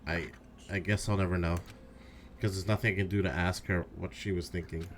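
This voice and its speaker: Anguished teen boy lead for a game voiced by a man, lowish, earnest, slight background noise. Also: steady tone, a little fast, close to an everyday voice. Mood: hurt, brooding. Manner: earnest, emotional. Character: earnest, anguished teenage schoolboy protagonist. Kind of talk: fan voice acting of a video game.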